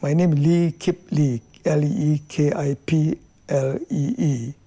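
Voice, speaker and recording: A man in his eighties from Singapore, slowly recalling the past in a documentary interview. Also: a little high, fairly even intonation, mellow, breathy edge. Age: 80s. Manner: slow, deliberate recollection.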